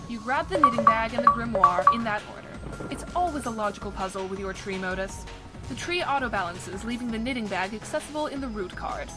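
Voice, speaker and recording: A woman's voice: fairly high pitch, lightly acted. A woman playing a wry, articulate narrator, delivering scripted second-person adventure story narration with a touch of theatre. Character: wry, matter-of-fact adventure game narrator. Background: music.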